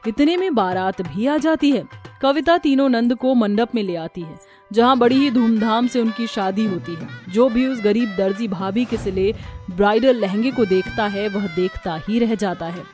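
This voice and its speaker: A female narrator tells a scripted cartoon story. Her voice is somewhat high. Soft music runs underneath. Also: moderate pace.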